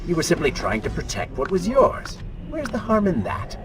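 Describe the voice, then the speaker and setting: Sardonic male dark alter ego for a game: taunting, goading, wildly swooping delivery, loud music underneath. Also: on the high side, huge pitch swings, normal speed. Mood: mocking. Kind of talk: video game voice acting.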